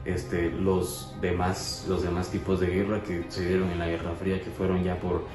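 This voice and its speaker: A man's voice: deep voice, monotone, normal speed. A man explaining history as co-host in a casual educational chat, with many fillers and restarts. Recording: echoey room with music.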